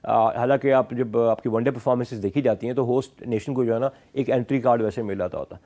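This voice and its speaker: Male sports analyst, Pakistani accent, soft-edged mellow tone, emphatic explainer, code-switching talk show delivery. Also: mid-range voice, quick pace. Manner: emphatic, explanatory, switching often between Urdu and English. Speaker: cricket analyst.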